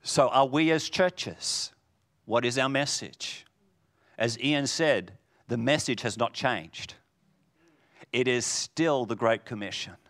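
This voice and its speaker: A man preaching a sermon in a booming voice, swinging from loud declarations to hushed lines. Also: slow.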